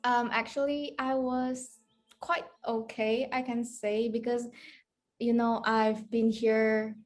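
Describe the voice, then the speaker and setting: A young woman, co-hosting a student podcast in relaxed casual chat, laughing often. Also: on the high side, takes it slowly, faint music behind. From Indonesia. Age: young adult. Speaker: podcast co-host.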